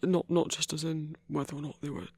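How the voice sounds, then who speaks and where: Man acting a hesitant, husky young monster hunter. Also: fairly high pitch, steady tone, fairly quick, a little mellow, dry recording. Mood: awkward, musing. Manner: hesitant, stammering, nervous little laughs. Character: shy, earnest young male monster hunter, smitten and awkward. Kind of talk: intimate ASMR audio roleplay.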